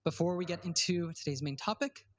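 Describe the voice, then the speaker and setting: A man's voice: a little high, brisk. Upbeat man hosting a podcast. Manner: upbeat, enthusiastic. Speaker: podcast host. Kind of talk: podcast hosting and promo read.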